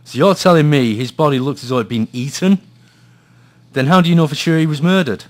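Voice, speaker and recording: A man's voice: a little high, fairly quick, lightly acted. A man with a Scottish accent playing a weary, dry private investigator in a noir radio drama, hesitant and understated. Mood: probing, incredulous. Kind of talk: noir radio drama, dialogue and brooding first-person narration.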